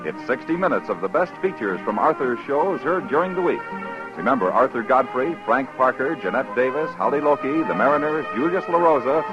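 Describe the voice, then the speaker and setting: A man with an American accent making formal, polished announcements in 1950s network radio style. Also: mid-range voice. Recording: muffled 1950s radio broadcast recording. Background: music.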